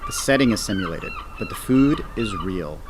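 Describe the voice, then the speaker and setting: A man's voice: medium pitch, wildly swooping pitch, normal speed, noisy background. An actor playing an easygoing, teasing male space station commander with a casual, wry manner in an audio drama. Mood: playful, fond.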